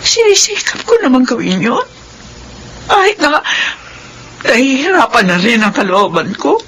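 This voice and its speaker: Weary, overburdened Filipino mother, high-pitched. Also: very animated, unhurried, fairly bright tone, slight background noise, exaggerated voice. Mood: worried, weary. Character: weary, overburdened mother of troubled grown children. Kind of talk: Philippine radio drama acting.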